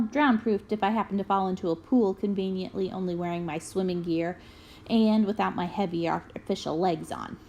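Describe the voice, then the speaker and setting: High-voiced woman reading a story aloud. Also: a little mellow, poor audio. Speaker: book reader. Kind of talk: expressive read-aloud of a children's memoir.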